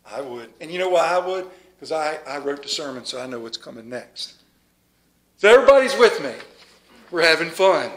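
A middle-aged man, a pastor, preaching a lively sermon in a small church, reading scripture and moving around the pulpit with dramatic pauses. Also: a little high. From United States. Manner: animated, moves about the pulpit, dramatic pauses. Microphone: stand mic at pulpit, far camera.

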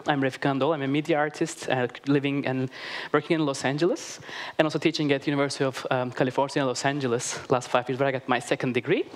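Eager Turkish-accented man presenting on stage. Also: medium pitch. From Turkey. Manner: enthusiastic, many "like" fillers, loose non-native grammar. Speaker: media artist giving a talk. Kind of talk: conference presentation. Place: large theater stage. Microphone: headset mic.

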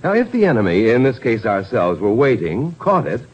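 An actor playing an urgent, quick-thinking American lawyer in a 1950s radio drama. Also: male voice, on the low side, very animated, slightly rough. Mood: earnest, persuasive. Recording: dull, faintly noisy vintage radio broadcast.

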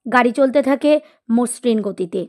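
A woman reading a scripted literary story as narrator, in an expressive storytelling style. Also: a little high, moderate pace. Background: music.

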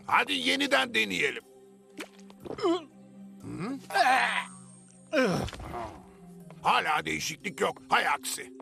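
A man's voice: high voice, sing-song, unhurried, bright, forceful tone, exaggerated voice. An actor in a cartoon dub playing an eccentric, boastful old inventor grandfather, theatrical and full of grand exclamations. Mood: absorbed, fussy. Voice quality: creaky, gravelly. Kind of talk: animated cartoon dub acting. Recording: faint music behind.

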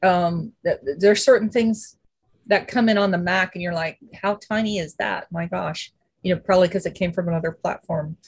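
A woman joining a video call discussion, chatty and relaxed. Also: fairly low pitch, moderate pace. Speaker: panelist.

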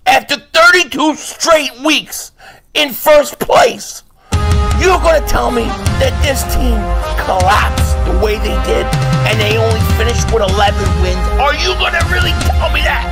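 High-pitched ranting male fan, over a hip hop music bed. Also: measured pace, vocal fry. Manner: ranting, mocking, emphatic. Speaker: fan commentator.

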